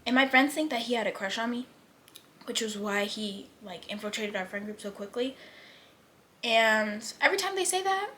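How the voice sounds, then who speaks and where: An eighteen-year-old woman chatting casually as a vlogger, full of "like" fillers and drifting between lively and hushed. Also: fairly high pitch, a little hiss or hum. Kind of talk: casual chat.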